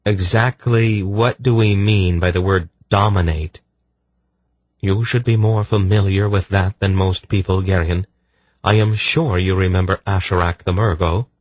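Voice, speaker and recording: A man's voice: deep voice, steady tone, a little slow, no room sound, pitched above the performer's own voice. A man voicing a stern sorceress in a fantasy audiobook, cold, imperious and sure of her power. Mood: cool, dry.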